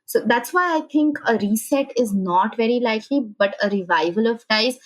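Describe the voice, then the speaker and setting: A woman's voice: fairly high pitch, animated, moderate pace. A woman from India with an Indian accent, speaking as an analytical podcast guest and explaining things with frequent checking tags. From Calcutta, India. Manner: explanatory, often checks with "right?".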